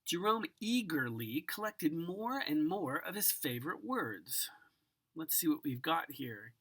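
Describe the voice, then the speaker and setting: A woman giving an expressive children's picture book read-aloud, savoring words dramatically and speaking directly to the listener. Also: sits low, husky. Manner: savors single words with drawn-out, dramatic delivery and occasional whispers. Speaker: storybook reader.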